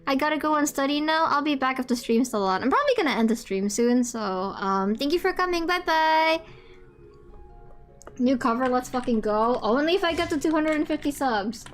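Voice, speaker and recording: A young non-binary streamer with a fairly high voice, talking loosely to their chat. They trip over restarts, break into laughter and swear casually. Soft music plays faintly underneath. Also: expressive, moderate pace. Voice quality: higher-pitched voice.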